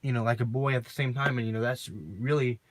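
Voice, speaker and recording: A deep-voiced man talking casually to the camera in a personal vlog, rambling and filling his talk with constant "you know"s and repeated phrases. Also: fairly even intonation, fast, no room sound. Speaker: vlogger.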